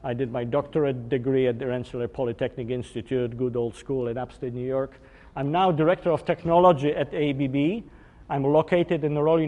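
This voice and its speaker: A man's voice: on the high side, darkish tone. A man in his fifties from Poland with a Polish accent, giving a technical workshop lecture, explaining step by step with some fillers and restarts. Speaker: engineering workshop presenter. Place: conference room. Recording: long hall reverb.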